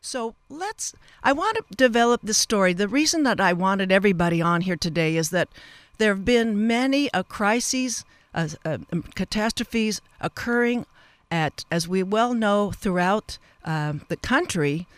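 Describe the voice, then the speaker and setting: A woman hosting a live radio interview show, with a wordy, halting delivery that wanders into asides. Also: mid-range voice, expressive, dry recording.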